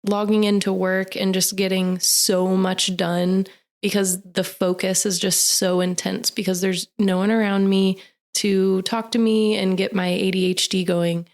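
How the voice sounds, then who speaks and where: A woman in her thirties talks candidly as a podcast co-host, her mid-range voice full of gravelly fry. She is still getting her voice back after losing it to illness. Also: medium pitch, level tone, a little slow. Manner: candid, chimes in to finish her partner's sentences. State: voice recovering from laryngitis. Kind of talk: casual chat.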